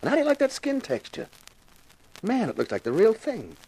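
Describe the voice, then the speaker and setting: A jittery, animated, cowardly TV detective star. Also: male voice, sits high, a little fast, husky, heavily acted, low-quality recording. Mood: curious, admiring. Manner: jittery, stammering, protesting. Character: nervous, cowardly male actor who plays a TV detective. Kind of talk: 1950s radio drama acting.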